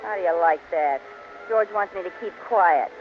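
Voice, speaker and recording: An actress playing an eager, scatterbrained housewife who shows off misused big words in a theatrical 1940s radio sitcom style. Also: female voice, on the low side, moderate pace, lightly acted. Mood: determined, flustered. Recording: muffled, hissy old radio broadcast.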